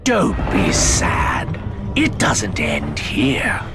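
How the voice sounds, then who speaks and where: An actor voicing a menacing monster villain in a game cutscene, mocking and drawn-out, swinging between hiss and shout. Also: male voice, low voice, huge pitch swings, vocal fry, full character voice. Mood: gloating, vengeful. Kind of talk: dramatic video game villain monologue. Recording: loud music under the voice. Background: loud cinematic game music.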